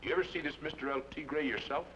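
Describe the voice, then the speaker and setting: A wry cowhand, talks fast, muffled old radio with faint music. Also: male voice, fairly high pitch, pushed and bright, slight background noise. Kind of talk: 1950s radio acting.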